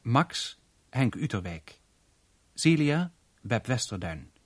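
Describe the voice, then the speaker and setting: Slow, formal male radio announcer. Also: medium pitch, sing-song, slightly rough, dry recording. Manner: formal, deliberate. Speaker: radio announcer reading closing credits. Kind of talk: 1970s formal broadcast announcement.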